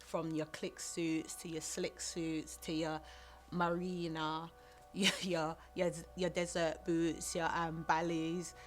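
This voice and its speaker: A woman's voice: low voice, little pitch movement, slow pace, soft background music. A woman, talking about her heritage in a documentary interview. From South London. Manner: chatty, many fillers like "like" and "um". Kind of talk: personal testimony.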